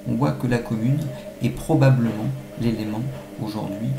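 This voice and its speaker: A man giving measured, analytical answers as an academic expert being interviewed, with some halting phrasing. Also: medium pitch, over music. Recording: echoey room, faint-to-clear background noise.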